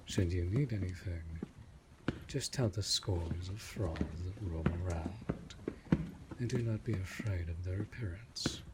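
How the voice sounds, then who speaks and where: A man playing a courtly, protective vampire lord in a roleplay audio drama, speaking softly and formally in measured, deliberate phrases. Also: deep voice, very noisy. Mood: courteous, guiding. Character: courtly, protective male vampire master of a manor.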